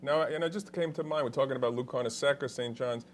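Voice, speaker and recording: A man's voice: medium pitch, fast, faint music behind. An older man hosting a live call-in medical show in a TV studio, chatty and joking, often restarting his sentences. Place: TV studio set.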